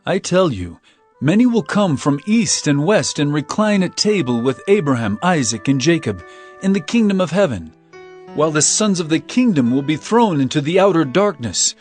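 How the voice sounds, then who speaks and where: A man's voice: a little high, big pitch swings, normal speed, darkish tone, soft background music. A man playing an authoritative, compassionate holy teacher in a dramatized audio Bible, solemn and emphatic.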